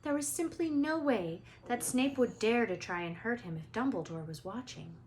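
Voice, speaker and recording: A woman teacher reading a children's novel aloud in an expressive storytelling style. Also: fairly high pitch, normal speed, slight background noise.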